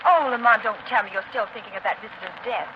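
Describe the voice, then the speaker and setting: A woman's voice: medium pitch, fast talker, natural voice. An actress playing an anxious, pleading young woman in a 1930s radio melodrama, with theatrical delivery. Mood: surprised. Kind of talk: 1930s radio drama acting. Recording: muffled, noisy 1930s radio recording.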